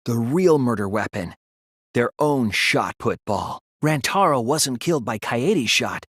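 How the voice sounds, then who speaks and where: A sensitive teenage boy detective in a dramatic game dub. His mid-pitched voice moves widely and expressively, earnest with an anxious edge. The sound is dry and studio-clean. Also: animated, a bit bright, slightly rough, no echo. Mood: grave, deductive. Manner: earnest, measured explaining that turns to strained pleading. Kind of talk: dramatic English video game dub acting.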